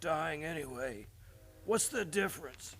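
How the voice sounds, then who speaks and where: An actor playing a dying, bitter Western villain in a radio drama, gasping in a strained, husky voice. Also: male voice, on the high side, animated, unhurried, a bit bright, exaggerated voice. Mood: agonized pleading.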